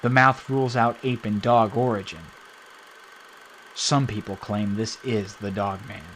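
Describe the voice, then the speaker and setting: A male narrator reading a scripted account in an even, documentary manner. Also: fairly low pitch, unhurried, a little hiss or hum.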